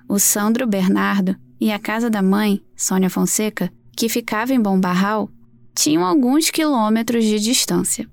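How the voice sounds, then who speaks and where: A Brazilian woman hosts a true crime podcast in a fairly high-pitched voice. She narrates conversationally, as if telling a story to listeners. The sound is dry, with no room echo. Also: vocal fry.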